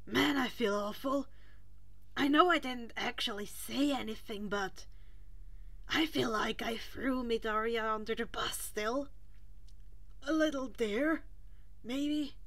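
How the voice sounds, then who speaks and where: A woman voicing an earnest, loyal, anxious teenage boy in a story, halting and emphatic. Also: on the high side, fairly bright tone, dry recording. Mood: guilty, upset.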